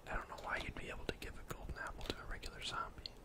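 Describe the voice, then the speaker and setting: A young man whispering casual, gently chatty ASMR game commentary in a soft, breathy voice. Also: rapid-fire. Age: young adult. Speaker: gaming ASMR video host. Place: indoor room at home.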